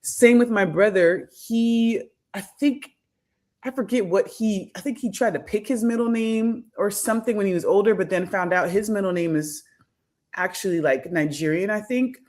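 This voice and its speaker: A woman in her thirties answers interview questions at an unhurried, reflective pace. She hesitates, restarts and circles back as she works through her thoughts. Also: mid-range voice, a little mellow. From United States. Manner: thoughtful, many fillers and restarts. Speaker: podcast guest, academic.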